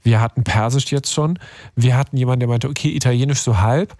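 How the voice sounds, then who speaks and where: A young man hosts a call-in radio show from a dry studio. His mid-pitched voice talks straight to listeners in loose, slangy chat peppered with English loanwords. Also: quick pace, darkish tone, dry recording.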